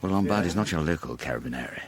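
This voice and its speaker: An actor playing a modest, observant private detective with a British accent, politely hesitant and dryly understated, in a radio drama. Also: male voice, rumbling low, expressive, rapid-fire, a crowd in the background.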